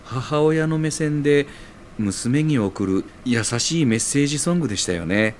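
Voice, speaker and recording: A man's voice: mid-range voice, expressive, moderate pace, slight background noise, close to an everyday voice. A man acting and narrating as a polite, sentimental character in a scripted radio drama. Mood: gentle, reminiscing. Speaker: radio show host and narrator in an audio skit.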